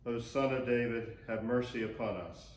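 A man's voice: mid-range voice, moderate pace. An older man giving formal liturgical responses as an assisting minister in church. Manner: formal recitation. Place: inside a church sanctuary by the altar. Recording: roomy, distant mic with faint noise.

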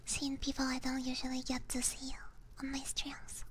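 A woman whispering hushed, casual ASMR chat to viewers, halting and close on a binaural microphone. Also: sits high, monotone. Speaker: ASMR streamer. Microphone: close binaural ear microphone. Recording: clear background noise.

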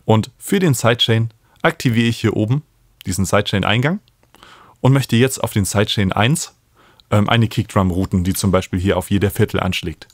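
Guy in his 30s doing a music software tutorial, lowish voice, dry close studio mic. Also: moderate pace, dry recording. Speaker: music production instructor. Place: small home studio, indoors. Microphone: studio microphone up close.

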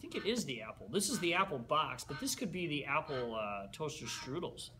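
A man's voice: on the high side, sing-song, a little fast, background noise. A young man in a room, speaking into a headset mic in a playfully agreeable, mock-formal manner. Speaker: co-host. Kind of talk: mock-serious cooking commentary.

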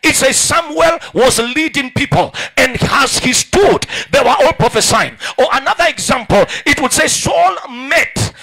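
A young Kenyan man preaching a sermon in a large church hall on a handheld mic, calling out to the congregation and rising into shouts. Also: very high voice, lively intonation, measured pace, creaky, hardly any pauses. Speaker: pastor. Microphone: handheld microphone.

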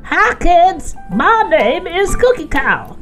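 Woman voicing a very high cheerful cow puppet. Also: sing-song, slow, soft background music, full character voice. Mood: cheery.

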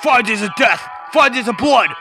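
A brooding male vigilante, acted by a man reading a comic aloud, speaks in grave, clipped phrases. He sounds haunted and intensely dramatic, with music clearly playing behind him. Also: very high-pitched, lively intonation, normal speed, sharp tone, heavily acted. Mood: anguished rage. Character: brooding grim adult male masked vigilante, haunted and guilt-ridden.

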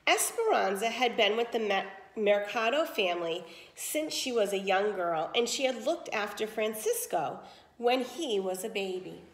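A woman's voice: mid-range voice, lively intonation, moderate pace, reverberant. A woman reading a picture book aloud to children like a teacher, explaining as she goes and checking understanding. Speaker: read-aloud storyteller, teacher.

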